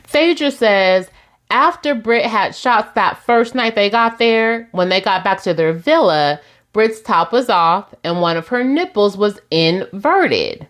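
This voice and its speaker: Woman, forties, sassy casual recap host, mid-pitched voice. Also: animated, takes it slowly, fairly bright tone. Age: 40s. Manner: sassy, chatty, laughs often, addresses listeners as y'all.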